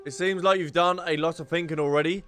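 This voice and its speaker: A man's voice: fairly high pitch, fairly even intonation, fast, a bit bright. A man giving casual gameplay commentary as a streamer, reacting and reading dialogue choices aloud into a close mic. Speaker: game streamer.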